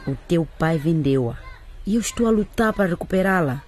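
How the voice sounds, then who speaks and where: A husky, secretive mother. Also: fairly low pitch, lively intonation, fairly quick, a little mellow. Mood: confessing. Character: worried mother guarding a painful secret. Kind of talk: radio drama acting.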